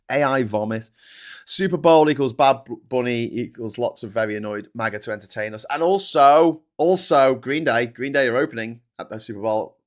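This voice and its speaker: A man hosting a casual morning livestream, reading comments aloud with sarcastic, rambling asides. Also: mid-range voice. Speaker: host.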